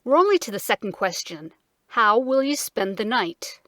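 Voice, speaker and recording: Woman putting on a drowsy cross king, solemn and irritable, lively pitch, dry sound. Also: moderate pace, no echo. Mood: grumpy. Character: sleepy, cross old king.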